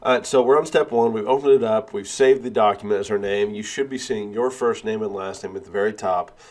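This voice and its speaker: Male instructor, lowish voice, stuffy with a cold, walks through each step, fillers like "uh". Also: a little fast, a little mellow. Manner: step-by-step, with frequent "uh" and "all right". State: has a cold, sniffling. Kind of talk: screen-recorded software tutorial.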